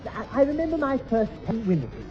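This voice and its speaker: A man's voice: very high voice, wildly swooping pitch, fast talker, mellow, heavily acted. A man playing a prim, genteel, bumbling English lodger who stammers over his words in a 1960s radio sitcom. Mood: earnest. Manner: fussy, stammering repetitions. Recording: dull 1960s radio recording with music.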